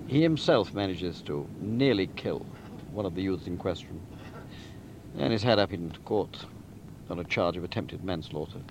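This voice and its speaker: A man giving a deliberate, emphatic and articulate spoken summary of a story, like a novelist outlining a book. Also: low voice, expressive, background noise. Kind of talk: spoken plot summary, literary talk.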